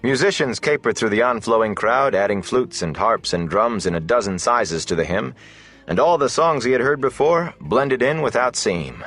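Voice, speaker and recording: A man reading a fantasy audiobook as its narrator, in a measured, deliberate, dramatic storytelling delivery. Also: medium pitch, expressive, a bit bright, faint music behind.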